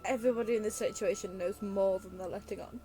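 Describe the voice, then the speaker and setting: A woman's voice: medium pitch, steady tone, fast talker, mellow, soft background music. A woman gaming streamer giving casual, playful and rambling commentary on a playthrough.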